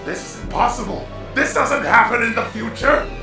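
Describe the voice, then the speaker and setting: Menacing robot villain, sharp gritty tone, animated delivery, arrogant, audio drama. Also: mid-range voice, normal speed, soft background music, rough recording. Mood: outraged disbelief. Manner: grandiose, snarling threats. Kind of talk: fan audio drama acting.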